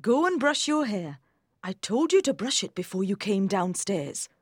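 A woman's voice: medium pitch, sing-song, moderate pace, slightly rough, no echo, clean studio sound. A woman voicing a fussy, anxious, eager-to-please mother in an audiobook, exclaiming and fussing. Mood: scolding. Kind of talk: audiobook character voice.

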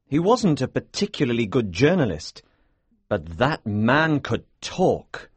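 A man acting an opinionated journalist in an audiobook drama. His husky, mid-pitched voice rises and falls in a very animated way. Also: measured pace, no room sound. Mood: emphatic, opinionated. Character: opinionated, talkative male journalist.

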